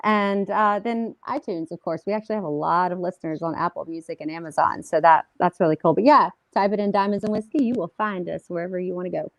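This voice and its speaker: A woman's voice: fairly low pitch, quick pace, few pauses, no echo. A woman singer chatting casually as a guest in an interview, upbeat and full of fillers.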